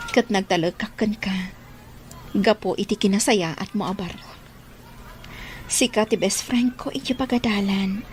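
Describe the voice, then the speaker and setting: An actress with an Ilocano Filipino accent playing a sincere, gentle but guarded young student in a radio drama. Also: female voice, mid-range voice, lightly acted. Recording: faint noise and ambience.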